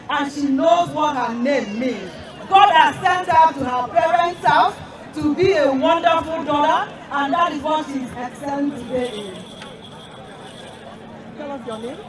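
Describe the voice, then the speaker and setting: A woman emcees an outdoor festival, emphatically repeating and explaining answers. Her high voice rings through an echoey loudspeaker and sounds distant. A crowd murmurs steadily behind her. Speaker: emcee quizzing children. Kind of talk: public address at an outdoor festival. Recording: echoey amplified sound from afar over crowd. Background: crowd chatter.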